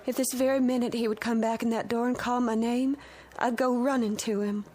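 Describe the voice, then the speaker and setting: A woman's voice: a little high, fairly even intonation, a little fast, dark tone, lightly acted. Woman acting a heartbroken jilted country girl. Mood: heartbroken, yearning. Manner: plaintive, wistful. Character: heartbroken, lovelorn jilted country daughter, young. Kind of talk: radio drama acting. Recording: radio play with music bed.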